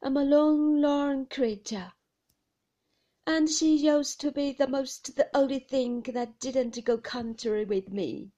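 A woman's voice: high voice, warm tone, muffled recording, pitched above the performer's own voice. A woman playing a moaning self-pitying widow. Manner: moaning, drawn-out and plaintive.

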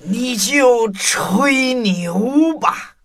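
An actor playing a big, fearsome, hungry monster in a children's audio drama, loud and scornful. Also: mid-range voice, lively intonation, noisy background. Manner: loud, slow, menacing.

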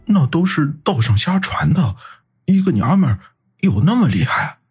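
Audiobook role: whiny, timid young male lackey, high-swooping, rough-husky voice, stammering and defensive. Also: very animated, moderate pace, darkish tone, faint music behind, exaggerated voice. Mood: nervous, defensive. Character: cowardly young hanger-on brother-in-law, whiny and defensive. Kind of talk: audiobook character acting.

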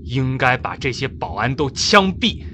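An actor playing a tense, protective spaceship captain and uncle in a radio drama, commanding and earnest, with ambient noise behind him. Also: male voice, medium pitch, measured pace. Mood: shocked, exasperated. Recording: noisy cabin-like ambience. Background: steady ambient noise.

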